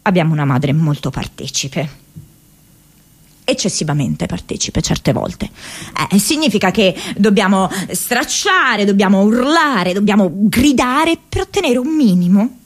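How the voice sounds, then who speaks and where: An actress playing an idealistic, restless young woman in a radio theatre monologue, speaking expressively and haltingly, like an affectionately rebellious daughter. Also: mid-range voice, breathy edge. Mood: wry, reflective. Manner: halting, expressive, with broken repetitions.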